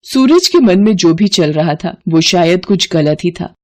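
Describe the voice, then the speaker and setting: A woman's voice: on the low side, lively intonation, measured pace, a little mellow. Woman narrating a scripted story.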